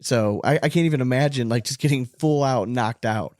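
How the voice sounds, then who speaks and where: A man's voice: mid-range voice, a little fast, darkish tone, no room sound, studio quality. A man hosting a casual sports podcast, talking in a blunt, profane way. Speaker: podcast host.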